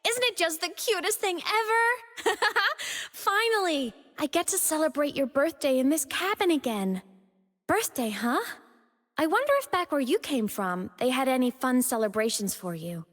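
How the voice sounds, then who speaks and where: A young woman playing a gentle, playful female digital ghost in a video game, with a tender, earnest and affectionate manner. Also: high voice, lively intonation, normal speed, long reverb tail. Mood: excited, delighted. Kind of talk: video game character voice lines.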